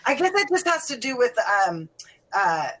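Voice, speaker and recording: A woman's voice: on the high side, sing-song, moderate pace. A middle-aged woman hosting a casual conversation, chatty and rambling, often laughing. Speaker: podcast host.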